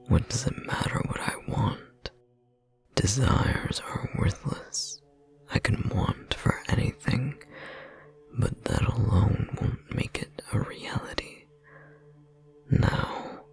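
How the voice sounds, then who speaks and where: A man playing an ancient imprisoned godling, resigned and quietly menacing, in a breathy, creaky whisper for a horror audio roleplay. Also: lowish voice. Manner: slow, measured, quietly menacing. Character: ancient imprisoned male godling, resigned, eerily calm, wounded.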